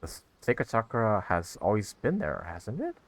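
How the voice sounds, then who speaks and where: A young man hosting a gaming video, giving casual, halting commentary as he plays. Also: fairly low pitch, huge pitch swings, a little hiss or hum.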